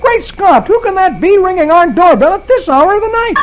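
A man doing a flustered young husband who exclaims in a voice pushed well above his own. His tone rises and falls in lively swings. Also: very high-pitched, normal speed, muffled recording, soft background music, pitched above the performer's own voice, full character voice.

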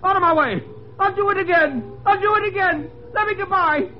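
A man's voice: sits high, sing-song, normal speed, voice pushed up. A man voicing a nervous, stammering man in his late thirties in a dramatic radio story, on a tinny, noisy old recording. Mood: hysterical, desperate. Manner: stammering, agitated, protesting.

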